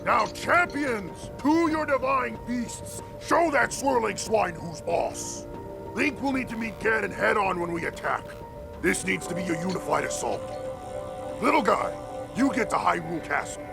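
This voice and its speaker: A very gravelly, raised male voice plays a big, boisterous fantasy game champion. He talks bluntly in rousing, folksy phrases, with music behind him. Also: high-pitched, animated, a little slow, full character voice. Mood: rousing, commanding. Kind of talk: dramatic video game cutscene acting.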